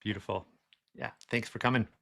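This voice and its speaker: A man's voice: on the low side, steady tone, a little fast, creaky, bright, forceful tone. A man hosting an online gathering, informally introducing guests and handing over to them with some hesitation. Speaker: host. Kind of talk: informal event introduction on a video call. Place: video call.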